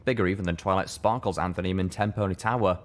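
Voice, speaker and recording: A man with a deep, low voice narrates a story in the first person. He reads from a script in the manner of an audiobook. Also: steady tone, fast. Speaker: fan fiction reader, narrator.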